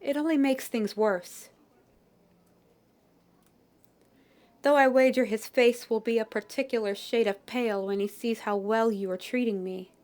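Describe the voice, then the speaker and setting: A woman voicing a shy, humble young peddler flower girl in a fantasy audio roleplay, speaking softly and politely with old-fashioned phrasing. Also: on the high side, fairly even intonation, moderate pace, lightly acted. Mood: warm, grateful.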